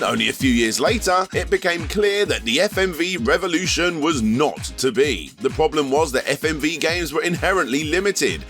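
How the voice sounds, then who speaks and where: A man's voice: on the high side, fairly bright tone. A man narrating a scripted video essay as host, dropping wry sarcastic asides.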